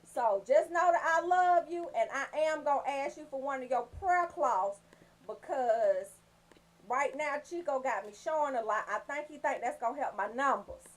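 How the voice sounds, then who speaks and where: A woman hosting a radio show, giving casual, comedic shout-outs in a sassy, joking, profane way. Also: high-pitched, animated, moderate pace.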